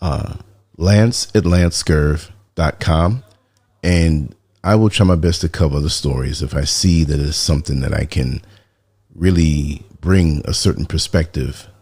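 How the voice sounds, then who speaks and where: A man with a very low, mellow voice hosts his commentary show. He still sounds sleepy, as if he has just woken up. He reads the news in a low-key way and drops dry, sarcastic remarks. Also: unhurried, husky, dry recording. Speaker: podcast host. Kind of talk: casual commentary reading a news article.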